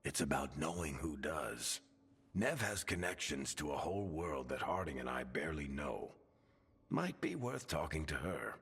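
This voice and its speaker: An actor voicing a wry older dwarf mentor in a video game, husky and gravelly, with a sardonic, reassuring way of talking. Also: male voice, rumbling low, animated, moderate pace, fairly bright tone, full character voice. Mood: reflective, encouraging. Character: wry older dwarf man, battle-worn mentor and storyteller. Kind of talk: video game dialogue acting. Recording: reverberant hall, music underneath.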